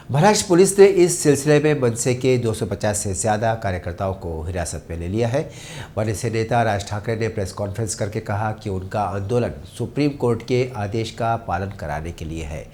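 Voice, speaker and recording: A man's voice: lowish voice, a little mellow, slight background noise. Male Hindi news anchor, formal delivery. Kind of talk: scripted news narration.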